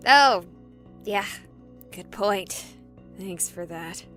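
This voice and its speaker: A woman playing a plucky, stubborn young streamer in a visual novel, sarcastic and excitable in her delivery. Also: medium pitch, extremely slow, exaggerated voice. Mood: exasperated. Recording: music behind the voice.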